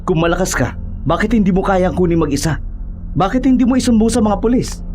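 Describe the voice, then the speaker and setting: A man's voice: high-pitched, a little fast, a little mellow, faint music behind, lightly acted. A man playing a glib, eager young monster hunter's sidekick in an audio horror drama. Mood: doubtful.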